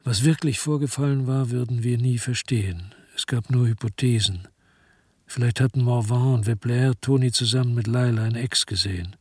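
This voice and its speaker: Guy acting bitter detective narrator, low rough husky voice, super dry sound, grim radio drama. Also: dry recording, lightly acted. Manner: grim, terse, reflective. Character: brooding, bitter male investigator narrating his crime story. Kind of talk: scripted first-person radio drama narration.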